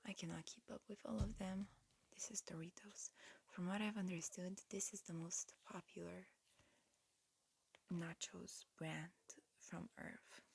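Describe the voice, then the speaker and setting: A woman whispering a gentle, breathy ASMR roleplay as a medical examiner, murmuring unhurriedly with pauses. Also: on the low side, steady tone, a little hiss or hum, low-quality recording.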